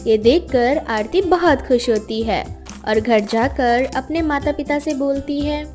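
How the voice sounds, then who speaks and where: Woman, high pitch, scripted narration, children's moral story, background music. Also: unhurried, a little mellow. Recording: music behind the voice.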